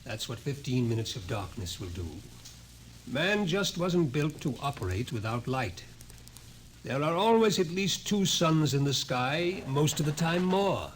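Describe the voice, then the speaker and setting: An actor playing a grave, fatalistic scientist in an old-time radio drama, explaining things ominously. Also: male voice, on the high side, sing-song, unhurried. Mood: grim. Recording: noisy vintage radio recording.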